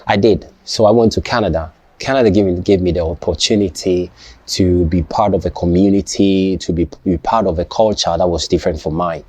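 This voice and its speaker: A young man, not a native English speaker, talking casually to his viewers, halting and restarting often and checking "you understand?". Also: sits low, darkish tone, husky, no room sound. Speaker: vlogger. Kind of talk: casual personal talk to camera.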